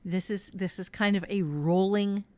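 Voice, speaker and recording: A woman's voice: mid-range voice, moderate pace, a little mellow. A woman hosting a podcast workshop, talking informally and candidly, telling personal stories emphatically and swearing freely. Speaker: podcast host and workshop teacher. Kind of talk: casual workshop chat and personal storytelling.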